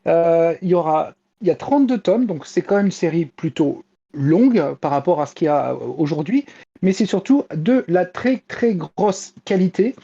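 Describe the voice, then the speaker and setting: Female columnist, very mellow tone, enthusiastic but halting, on a muffled lo-fi link. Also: fairly low pitch, animated, slightly rough. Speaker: manga columnist. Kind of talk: casual enthusiastic review.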